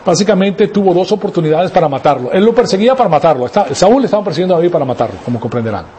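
A man's voice: high voice, expressive, rapid-fire, warm tone, a little hiss or hum. A man raised in Venezuela preaching a sermon, emphatic, asking rhetorical questions and tagging lines with "ok?". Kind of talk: sermon teaching.

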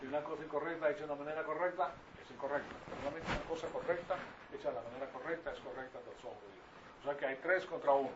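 A man's voice: on the high side, rapid-fire. An older man from Venezuela with a Venezuelan Spanish accent, preaching an informal Bible lesson in a didactic, repetitive way with rhetorical questions to his listeners. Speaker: preacher teaching a congregation. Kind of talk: informal Bible-teaching sermon. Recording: distant mic, muffled, clearly noisy.

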